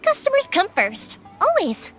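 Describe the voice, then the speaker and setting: A woman's voice: extremely high pitch, wildly swooping pitch, unhurried, a bit bright, faint music behind, full character voice. A woman playing a cheerful, generous young fireworks shop owner in an English video game, chatty and eager to help. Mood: upbeat, reassuring.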